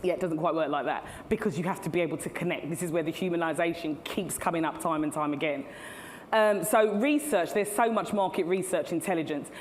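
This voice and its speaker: A woman's voice: fairly low pitch, fairly quick, a little hiss or hum. Woman giving a chatty keynote. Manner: chatty, laughs at own asides. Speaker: keynote speaker. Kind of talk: live conference keynote. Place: large hall at a tech event. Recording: long hall reverb, faint ambience.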